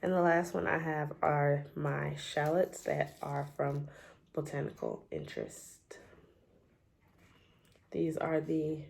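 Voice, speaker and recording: A woman's voice: deep voice, animated, slow pace, gravelly, pauses often, darkish tone. A woman with a stuffy, scratchy voice from a cold, chatting casually at her table at home, with frequent ums as she shows her things. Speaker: homestead gardening vlogger. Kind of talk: casual chatty show-and-tell.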